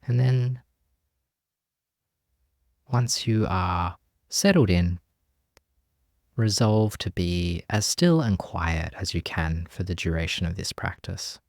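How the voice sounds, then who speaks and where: A man leading a guided meditation as a teacher, speaking gently and leaving long pauses between phrases. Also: sits low, no room sound, studio quality.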